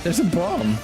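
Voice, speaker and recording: A man's voice: high-pitched, low-quality recording. A man streaming a game with casual, muttered commentary and reactions.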